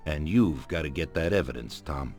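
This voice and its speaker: Deep, commanding mafia boss. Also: male voice, animated, darkish tone, faint music behind. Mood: calculating, grave. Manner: measured, commanding. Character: authoritative, calculating older mafia boss giving orders. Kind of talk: dramatic video game dialogue.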